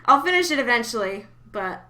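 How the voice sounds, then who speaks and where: Bright-voiced woman hosting a casual podcast. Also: on the high side, sing-song, a little fast. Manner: informal, chatty. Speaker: podcast host. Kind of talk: casual fan podcast chat.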